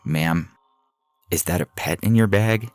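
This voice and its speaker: A man's voice: sits low, a little slow, no room sound, faint music behind. A man voicing a weary older male officer near retirement, firm and official but courteous and lenient, in an audio science fiction story. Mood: concerned, authoritative.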